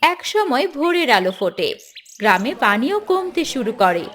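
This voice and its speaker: A woman's voice: high-pitched, very animated, normal speed, fairly bright tone. A woman narrating a cartoon fairy tale in a dramatic, scripted storytelling style.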